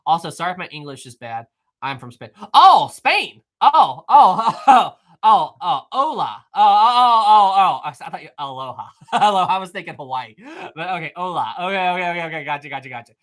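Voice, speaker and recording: A man hosting a casual live stream, answering viewer messages in an animated, rambling way with frequent fillers, restarts and laughs. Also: high-pitched, fairly quick. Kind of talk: casual live-stream chat reading super chats.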